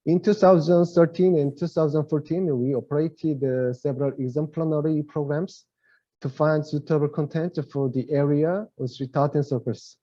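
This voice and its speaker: A middle-aged man with a Korean accent, giving a webinar presentation in English as his second language, halting and searching for words. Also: on the high side, steady tone, dark tone. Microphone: video call. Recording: lo-fi video call audio.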